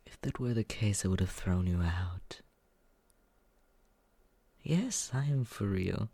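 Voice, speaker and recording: Performer playing a young male roommate, condescending, sadistically teasing, ASMR roleplay, breathy half-whisper, creaky, unhurried. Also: low voice, lively intonation, dark tone, dry recording, heavily acted. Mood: disdainful. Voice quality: breathy, husky, often slipping into whisper. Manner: condescending, drawling, taunting. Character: smug, mocking, sadistic young male roommate, reluctant caretaker.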